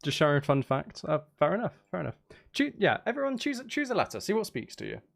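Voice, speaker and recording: A man's voice: a little high, huge pitch swings, fast, vocal fry. A man livestreaming casually, rambling and thinking aloud as he chats with viewers. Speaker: livestreamer making music live. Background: music and synth sounds.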